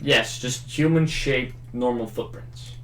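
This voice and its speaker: A man's voice: mid-range voice, slow, fairly bright tone. A man narrating a tabletop roleplaying game as game master, in an echoing room. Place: indoor room, group game livestream. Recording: roomy, echoing room sound.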